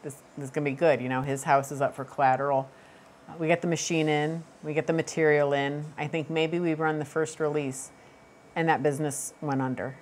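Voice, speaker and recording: A middle-aged woman, a company president, talking casually in an interview with lots of fillers and restarts, on a lapel mic. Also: extremely low pitch. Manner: conversational, many fillers and restarts. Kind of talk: shop tour interview. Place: studio set, then machine shop floor. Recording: music bed under the voice.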